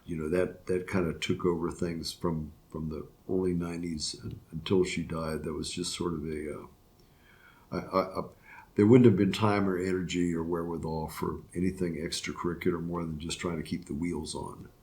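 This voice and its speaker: A man's voice: deep voice, fairly even intonation, a little mellow. An older man, a retiring judge from Florida, answering interview questions reflectively, with frequent restarts and fillers. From Florida, USA. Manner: halting, many restarts and fillers. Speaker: retiring circuit court judge.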